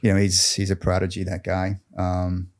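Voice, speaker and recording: A man playing a boastful, crude real estate agent of about forty with an American accent, deadpan and halting in an improvised mock interview. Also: low-pitched, lightly acted. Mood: boastful, relaxed. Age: around 40. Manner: cocky, deadpan, swearing, halting.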